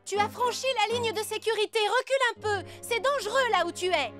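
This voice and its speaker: A woman voicing a patient cartoon mother, gentle but firm with her child. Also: very high-pitched, brisk. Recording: cartoon soundtrack with music.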